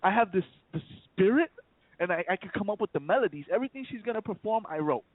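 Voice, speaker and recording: A man's voice: sits high, expressive, quick pace, no echo. A man from the South Bronx, a rapper speaking as a guest in a casual radio interview, repeating words and leaning on "you know". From South Bronx, New York. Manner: stammering repeats, many "you know" fillers. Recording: tinny phone line, dry.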